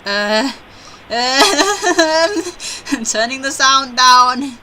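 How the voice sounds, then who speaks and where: A young woman hosting a let's play, reading a visual novel aloud with expressive, jittery, casual reactions. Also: high-pitched, extremely slow, slight background noise.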